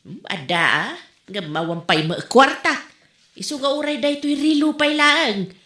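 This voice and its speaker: Woman playing an Ilocano village mother, bright, bargaining, echoey. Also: sits high, expressive, a little slow, heavily acted. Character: older village mother buying a watch.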